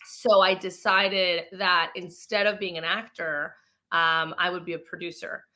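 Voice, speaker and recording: A woman's voice: on the low side, steady tone, a little slow, bright, forceful tone. A woman from Mexico being interviewed as an actress and producer, telling her story in a casual, chatty, informal way. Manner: chatty, self-quoting, informal.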